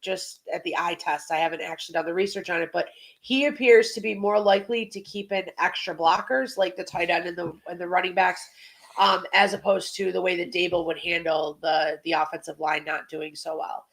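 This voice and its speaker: A woman's voice: on the low side, fairly even intonation, fairly quick, gravelly, a bit bright, rough recording. A woman hosting a casual football preview podcast, chatting and asking questions, with a slightly congested voice. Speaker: sports podcast host.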